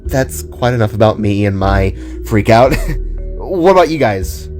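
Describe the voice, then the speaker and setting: A man's voice: fairly low pitch, animated, measured pace, darkish tone, soft background music. A man voicing an eager young woman in an audio drama, an aspiring reporter who babbles out conspiratorial theories. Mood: upbeat.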